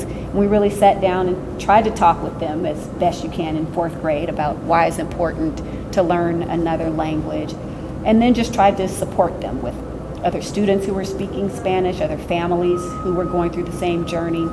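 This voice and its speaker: Middle-aged woman, parent, reflective interview answers, lowish voice, air conditioner hum behind. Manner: measured, reflective.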